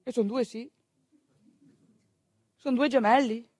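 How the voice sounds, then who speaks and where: A woman's voice: fairly high pitch, fairly even intonation, takes it slowly, darkish tone, breathy edge, dry recording. A woman telling a theatrical story, with conversational asides to her listeners. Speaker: stage actress narrating a monologue.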